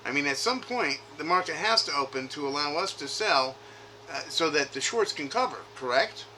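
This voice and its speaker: A man with a slightly high, bright and forceful voice talks informally on a call. He is insistent and keeps pushing with questions. There is faint noise on the makeshift recording. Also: lively intonation, moderate pace, low-quality recording. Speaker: caller, retail investor. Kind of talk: informal phone conversation. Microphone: makeshift recording device grabbed during call.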